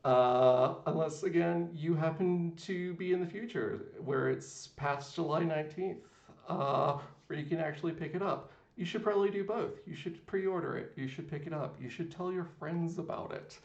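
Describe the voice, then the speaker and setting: A man's voice: on the high side, normal speed, lo-fi sound. A man hosting an online interview, speaking enthusiastically with frequent filler uhs. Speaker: podcast host. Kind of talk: wrapping up an interview. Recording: echoey room.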